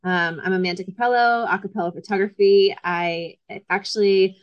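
A woman in her thirties from Connecticut, talking as a guest in a casual interview, relaxed and chatty with frequent ums. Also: medium pitch, moderate pace, clean tone, dry recording, lo-fi sound. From Connecticut, USA. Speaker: guest, photographer.

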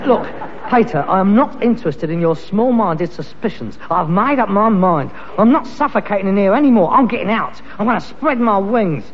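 A man's voice: high-pitched, expressive, moderate pace, some chatter behind. An actor with a London accent playing a frustrated, pretentious grown-up son of a rag-and-bone man in a British sitcom, grand one moment and exasperated the next. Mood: defiant, boastful. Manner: affected grandness slipping into working-class exasperation. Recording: muffled, hissy, reverberant archive comedy recording.

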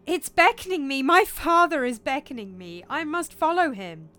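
A woman's voice: on the high side, animated, normal speed, fairly bright tone, smooth, faint music behind. A woman as Hamlet, impassioned and reckless young prince. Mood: desperate, defiant. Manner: impassioned, dramatic, questioning delivery.

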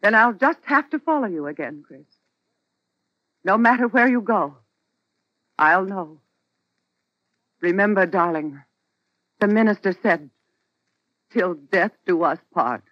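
An actress playing a clinging, possessive wife in an old-time radio melodrama. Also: female voice, mid-range voice, expressive, slow, long pauses, no echo. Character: clinging, smothering, devoted wife, pleading and possessive. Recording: muffled vintage radio recording.

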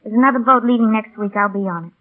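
A woman's voice: on the high side, rapid-fire, natural voice. An actress playing a plucky, wry young woman with a hesitant manner in 1930s radio drama. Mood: resigned, hurt. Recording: tinny, band-limited old radio recording.

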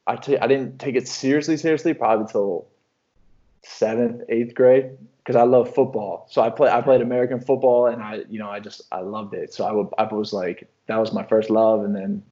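Young guy from illinois, lowish voice, rambly and relaxed, kinda muffled audio. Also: a little fast. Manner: relaxed, rambling with many restarts and fillers. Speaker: professional basketball player. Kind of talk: casual interview answers.

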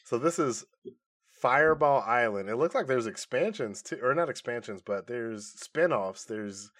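A man's voice: mid-range voice, animated, normal speed, a bit bright. A man co-hosting a podcast in a casual, chatty review style. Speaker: podcast co-host. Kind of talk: casual banter reviewing movies and shows.

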